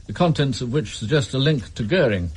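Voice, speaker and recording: An actor playing an authoritative, exasperated British intelligence chief, clipped and formal in a radio drama. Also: male voice, medium pitch, animated, a little fast, slightly rough. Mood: brisk, commanding.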